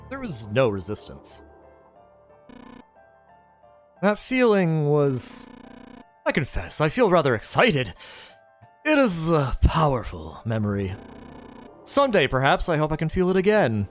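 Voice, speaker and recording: A man's voice: a little high, pitched above the performer's own voice. A man voicing a cruel, genteel middle-aged male villain who talks in a mocking, overly polite way. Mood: relishing. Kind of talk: audiobook character voice.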